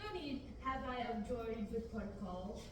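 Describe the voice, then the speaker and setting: A woman's voice: a little high, animated, normal speed. A young adult woman reading a mock-Shakespearean script aloud as an amateur at a table reading. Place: large indoor rehearsal room, far camera. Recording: distant camera in echoey noisy room.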